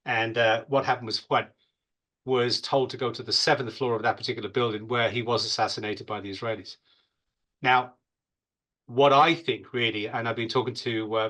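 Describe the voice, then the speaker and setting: A man speaks as an expert guest in an interview. His voice is medium in pitch, bright and forceful. He explains off the cuff, emphatic yet conversational, leaning on stock phrases.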